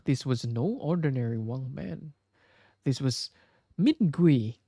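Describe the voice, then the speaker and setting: A man reading a story aloud as scripted narration, with a measured, dramatic storybook delivery. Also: mid-range voice, expressive, warm tone, no echo. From Indonesia.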